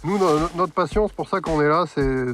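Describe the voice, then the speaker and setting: A middle-aged man with a French accent from France, a snowmobile guide explaining in an earnest, slightly halting way. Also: a little high, fairly bright tone, faint music behind. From French Alps.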